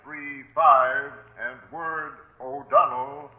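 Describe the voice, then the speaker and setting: A man reading a 1940s radio bulletin deliberately, item by item, in clipped scripted phrases. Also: on the high side, fairly even intonation, bright, forceful tone. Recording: roomy, echoing 1940s radio recording.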